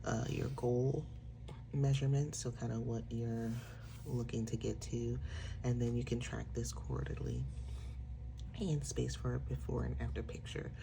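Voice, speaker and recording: Congested woman with a gravelly voice. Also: very deep voice, a little slow, background noise. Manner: relaxed explaining with frequent fillers. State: congested, a little nasal. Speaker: planner shop owner. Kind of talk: casual product walkthrough.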